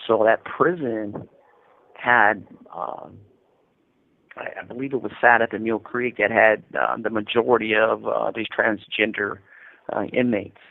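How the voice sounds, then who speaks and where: A man's voice: fairly low pitch, steady tone, unhurried, gravelly, no echo. American man in his fifties, phone line. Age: 50s. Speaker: incarcerated interviewee calling from prison. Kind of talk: casual phone interview, recounting experiences. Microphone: prison telephone. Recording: tinny narrow-band telephone line.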